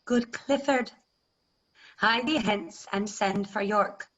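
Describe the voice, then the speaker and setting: Resolute, mourning queen played by a woman, classical stage verse, unhurried delivery, dulled video-call audio. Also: mid-range voice, fairly bright tone, muffled recording. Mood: resolute, commanding. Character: Queen Margaret, resolute grieving English queen.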